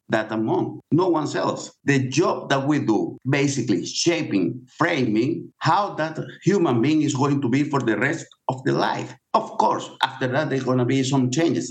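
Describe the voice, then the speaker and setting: Man, middle-aged, non-native English, earnest, explanatory, interview guest, mid-range pitch. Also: rough recording. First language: not English. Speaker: guest author. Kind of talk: interview answers.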